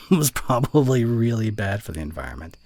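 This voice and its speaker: Man acting as a deep, breathy, snarky college guy. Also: very animated, normal speed, a little mellow. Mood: soft, wistful. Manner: teasing, grumbling, with sighs and breathy murmurs. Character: snarky young male college student voice actor, secretly fond. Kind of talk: intimate ASMR roleplay acting.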